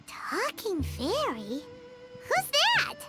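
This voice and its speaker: A woman voicing a small, cheeky, chirpy fairy companion in a video game, with a squeaky childlike voice. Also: huge pitch swings, extremely slow, heavily acted. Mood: curious. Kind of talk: video game character dialogue. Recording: game music behind the voice.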